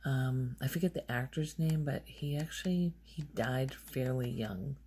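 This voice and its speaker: A woman speaking softly in an ASMR ramble, breathy and near a whisper at times, musing hesitantly as she thinks aloud. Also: rumbling low, unhurried, slight background noise. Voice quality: soft, breathy, often slipping toward a whisper. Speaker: ASMR video creator. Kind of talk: soft-spoken ASMR ramble.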